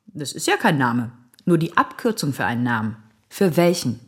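An actress playing a persistent, sceptical police detective who questions directly in a radio drama. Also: female voice, very deep voice, big pitch swings, normal speed, husky, full character voice. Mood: pressing, insistent.

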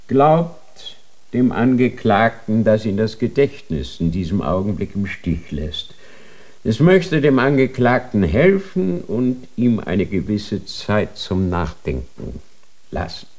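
An actor playing a solemn, coldly formal judge of the Inquisition, speaking in an official, measured way in a German radio drama. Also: male voice, on the low side, big pitch swings, darkish tone, heavily acted. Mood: solemn, stern.

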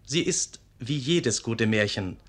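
Male presenter, medium pitch, formal, lecturing, scripted radio introduction. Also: animated, slow, fairly bright tone.

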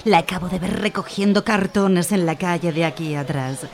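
A woman's voice: fairly low pitch, normal speed, a little mellow, lightly acted. A woman playing a brash fortune teller who puts on a fake Russian air, over crowd murmur. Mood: scheming. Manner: theatrical, spouts mock-Russian gibberish. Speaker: character in a radio serial.

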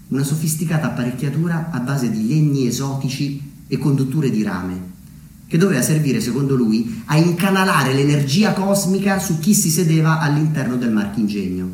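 A man delivering a scripted theatrical monologue as a storyteller, measured, with deliberate dramatic pauses. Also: a little high, darkish tone. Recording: very echoey room, distant mic, slight noise.

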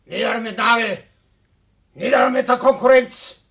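An actor playing a scheming, sloganeering innkeeper with a Viennese accent and a gravelly voice in a radio play. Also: male voice, extremely high pitch, a little slow, a bit bright, exaggerated voice. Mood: blustering. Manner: declaiming slogans. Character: blustering, scheming Viennese innkeeper obsessed with crushing competition.

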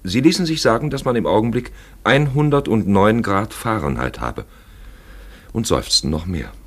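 A man narrating a literary radio play in an expressive, deliberate storytelling manner, with a gravelly, husky voice. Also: lowish voice.

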